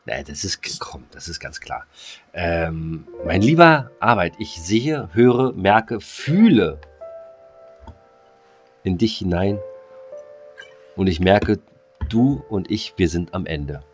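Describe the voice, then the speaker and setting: Deep-ish podcast guy, playful chat, bit of music in the back. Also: big pitch swings, takes it slowly, pauses often. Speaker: podcast co-host. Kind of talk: casual chat.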